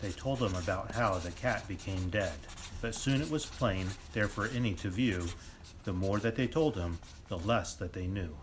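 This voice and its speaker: A man's voice: lowish voice, moderate pace, a little hiss or hum, lightly acted. A man playing a theatrical storybook narrator, telling a comic murder mystery in lilting rhyme. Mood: dramatic, wry. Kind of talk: scripted rhyming narration.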